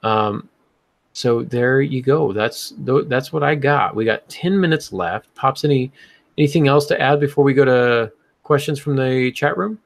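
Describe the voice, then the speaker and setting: A man's voice: medium pitch, unhurried, creaky, no echo. A man hosting a casual panel discussion, explaining things in an instructive way. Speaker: podcast host.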